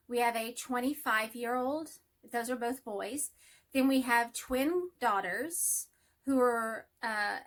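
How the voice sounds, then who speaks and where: Nasal Texan woman, bright and high. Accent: Texas. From Texas. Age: middle-aged. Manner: rambling, friendly, self-deprecating. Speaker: hobby vlogger and math teacher. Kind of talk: casual chat to camera.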